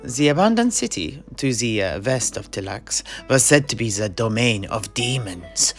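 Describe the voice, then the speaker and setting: Worldly mercenary knight, acted by a man, animated and hesitant storyteller, gravelly edge, fantasy audio drama over faint music. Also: lowish voice, heavily acted. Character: worldly, good-humoured mercenary knight telling his tale.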